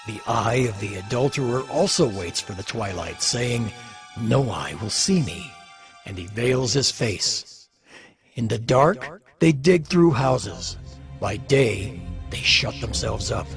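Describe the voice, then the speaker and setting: A solemn biblical sufferer, played by a man, laments in a bitter, defiant voice of middling pitch. The delivery is declamatory, in the manner of dramatized scripture, with prominent music underneath. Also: expressive, takes it slowly, vocal fry. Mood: indignant lament.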